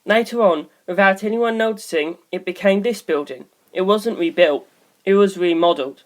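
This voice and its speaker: A woman's voice: medium pitch, moderate pace. A woman reading scripted story narration as an audiobook narrator.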